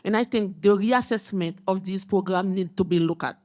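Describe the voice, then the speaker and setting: A woman speaks on a symposium panel in a mid-pitched voice. She is earnest and repeats her phrases for emphasis, and her grammar is not that of a native speaker. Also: normal speed, dark tone. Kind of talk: panel discussion remarks.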